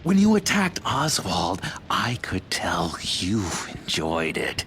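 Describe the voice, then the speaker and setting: An actor playing a gleefully menacing, theatrical madman in a video game, creaky and swinging between hushed confiding and shouting. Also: low voice, huge pitch swings, slow pace, background noise, exaggerated voice. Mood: sly needling. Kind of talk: dramatic video game acting.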